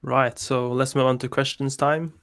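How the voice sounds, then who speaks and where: A man hosting a podcast, his delivery level and flat. The recording is dry and close, with no room sound, and he stays relaxed and easy. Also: mid-range voice, moderate pace, dry recording. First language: Norwegian. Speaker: podcast host. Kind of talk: casual podcast chat, reading listener questions.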